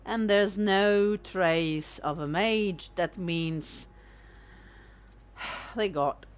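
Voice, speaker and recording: Slow, chatty Italian woman gamer. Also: medium pitch. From Italy. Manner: chatty, self-deprecating, thinks aloud. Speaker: let's-play host. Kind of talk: casual gameplay commentary.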